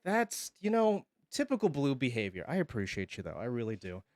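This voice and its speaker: A man's voice: medium pitch, wildly swooping pitch, fairly quick, a little mellow, no room sound, studio quality. A man streaming casually, thanking viewers and joking around. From Hawaii. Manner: goofy, joking, slips into singing.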